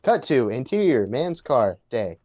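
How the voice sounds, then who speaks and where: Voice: medium pitch, sing-song, a little slow, warm tone. A narrator reading stage directions from a script.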